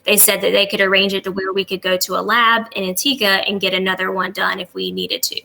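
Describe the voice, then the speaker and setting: A woman with a bright, crisp-edged voice at an average pitch answers as a travel agent guest. She is conversational and affirming, and sounds eager to help. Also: expressive, fast, poor audio.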